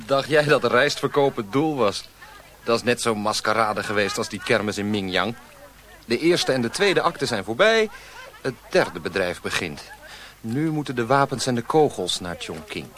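Guy acting young good-humoured russian smuggler, radio drama, mid pitch, sure of himself. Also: lively intonation, normal speed, a bit bright, close to an everyday voice. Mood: amused, assured. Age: young adult.

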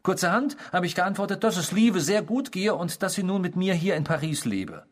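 Husky, eerie obsessive storyteller. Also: male voice, high voice, lively intonation, normal speed. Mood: amused, lively. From the Netherlands (character). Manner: theatrical, savouring, unrepentant, mimicking the people quoted. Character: eerie, obsessive male stranger confessing a past crime. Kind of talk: radio drama dialogue, first-person storytelling.

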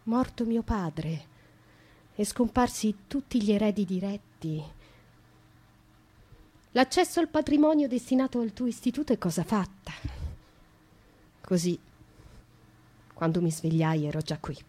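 An actress in a radio play voicing a young noblewoman who confesses to killing her father, speaking intensely, dropping to a hush and rising to impassioned outbursts. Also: medium pitch, big pitch swings, a little slow, pauses often, breathy edge. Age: young adult. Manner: intense, shifts from hushed to impassioned. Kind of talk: dramatic radio monologue.

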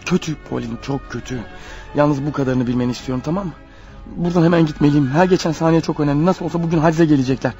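Man acting frantic, fast-talking paranoid husband on the run. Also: a little high, mellow, soft background music, lightly acted. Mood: desperate. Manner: agitated, stammering, muttering anxiously to himself. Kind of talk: radio play acting. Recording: dull lo-fi radio drama recording.

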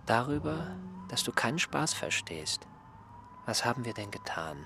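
An actor playing an aggrieved, wheedling ageing former servant in a radio drama. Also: male voice, medium pitch, sing-song, measured pace, vocal fry, noisy background.

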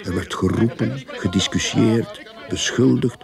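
An actor playing a sly, smooth-talking, easygoing swindler telling his story in a radio drama. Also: on the low side, measured pace, a crowd in the background. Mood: reminiscing.

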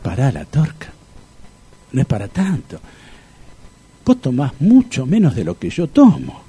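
An actor playing a grumbling, blunt older Argentine man in a comic radio play, with a husky voice and colloquial muttering. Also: medium pitch, wildly swooping pitch, a little slow, music in the background. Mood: confiding. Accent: Argentine, Rioplatense.

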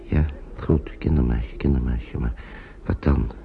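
An actor playing a worried, kindly uncle who hesitates and repeats himself in an old radio play. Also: male voice, very deep voice, fairly even intonation, a little slow, gravelly, exaggerated voice. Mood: worried, brooding. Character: worried kindly man, an uncle minding children. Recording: dull noisy old radio recording.

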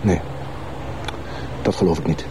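A man's voice: sits low, fairly even intonation, unhurried, background noise. A man playing an earnest, sceptical junior Flemish detective who reasons politely and methodically in a TV crime drama. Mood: pensive, sceptical. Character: earnest, sceptical junior male police detective, deferential to his boss. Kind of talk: naturalistic TV crime drama acting.

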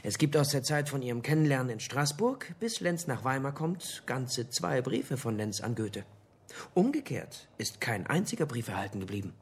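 An actor playing an investigator who briefs colleagues like an eager lecturer in a radio drama, husky and didactic, with emphatic pauses. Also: male voice, mid-range voice, sing-song.

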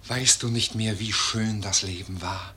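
A man's voice: lowish voice, steady tone, a little slow, sharp tone, husky, exaggerated voice. A man voicing an insistent, taunting bullet that addresses a dying man in a declamatory radio play. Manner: insistent, pointed.

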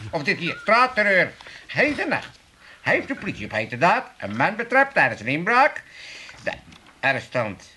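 A man's voice: high-pitched, wildly swooping pitch, normal speed, pushed and bright, a little hiss or hum, full character voice. An actor playing a gullible, scheming, muddled old working-class father with an Amsterdam accent in a comic radio play. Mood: muddled, indignant. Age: older.

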